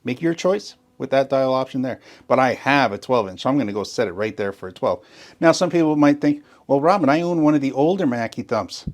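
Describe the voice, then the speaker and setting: A man's voice: medium pitch, expressive, brisk. A man walking viewers through a sound system setup in a step-by-step tutorial, enthusiastic and explanatory, often stressing what is very important. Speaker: gear demonstrator. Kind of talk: tutorial walkthrough.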